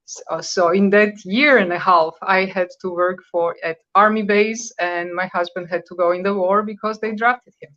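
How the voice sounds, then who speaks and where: A woman's voice: medium pitch, steady tone, normal speed, hardly any pauses, a bit bright. Croatian-born woman in her fifties, muffled. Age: 50s. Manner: conversational, searching for words, with hesitations. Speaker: guest. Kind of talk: radio interview.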